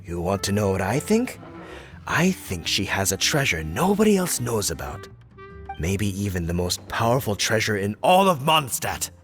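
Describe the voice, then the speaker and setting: A man's voice: on the low side, sing-song, normal speed, fairly bright tone, faint music behind. A man voicing a dramatic, earnest bard in a video game, theatrical and boastful. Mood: insistent.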